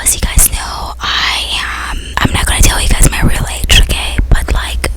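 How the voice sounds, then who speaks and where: A woman playing a centuries-old vampire queen in an ASMR roleplay, telling stories in an intimate, casual, chatty way with a soft breathy whisper. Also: normal speed, lightly acted. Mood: reluctantly indulgent. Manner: intimate, casual modern chatter, repeats words for emphasis. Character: centuries-old female vampire queen, doting, bossy, girlish storyteller. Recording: music behind the whispering.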